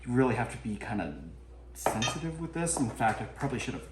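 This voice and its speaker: A man's voice: medium pitch, animated, quick pace, very noisy. Laid-back man cooking in an echoey kitchen. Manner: laid-back, chatty, swears casually. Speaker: home cook vlogger. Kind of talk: casual cooking narration. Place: home kitchen, indoors.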